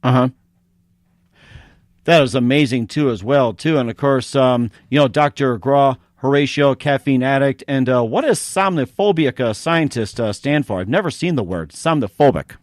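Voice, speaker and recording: A man's voice: mid-range voice, lively intonation, normal speed, no room sound, studio quality. A man hosting a radio-style interview show, moving between sponsor reads and questions. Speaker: show host.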